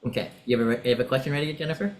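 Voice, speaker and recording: A man's voice: medium pitch, racing. A man coaching pageant contestants through a practice interview, directive and encouraging, explaining step by step. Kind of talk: coaching session.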